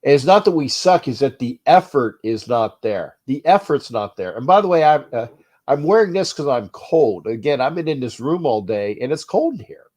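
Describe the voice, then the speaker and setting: A man's voice: medium pitch, animated, normal speed, a little mellow. Stuffy, nasal man ranting on a livestream. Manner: frustrated, blunt, repeats himself, reads chat aloud. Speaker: sports talk livestream host. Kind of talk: casual ranting livestream chat. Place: cold indoor room.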